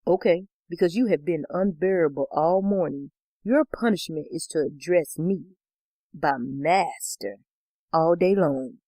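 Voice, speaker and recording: A woman voicing a calm, teasing husband who gives slow, firm commands in a story. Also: fairly high pitch, no echo, rough recording. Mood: stern.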